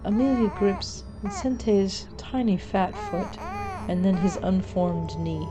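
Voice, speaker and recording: A woman reading a scripted horror story in a measured, dramatic storytelling manner. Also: mid-range voice, animated, muffled recording. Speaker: story narrator.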